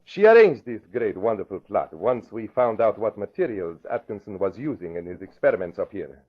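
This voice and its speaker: An actor playing a gloating, menacing spy villain with formal, deliberate phrasing, in 1940s radio drama style. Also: male voice, on the low side, very animated, breathy edge. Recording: muffled vintage radio recording.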